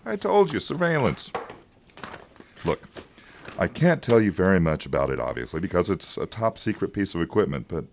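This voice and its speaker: A man playing a deep-voiced, slick government agent. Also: big pitch swings, moderate pace, a little hiss or hum. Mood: sly, confiding. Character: evasive, persuasive male government agent, slick and patronizing. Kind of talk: radio drama acting.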